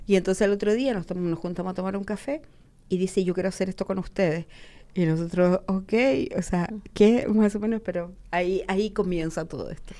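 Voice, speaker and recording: A woman's voice: lowish voice, fairly even intonation, brisk, dark tone. A woman from Chile, a photojournalist guest, telling a story with enthusiasm and acting out the lines of the people in it. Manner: enthusiastic, acts out quoted dialogue. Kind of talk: animated storytelling.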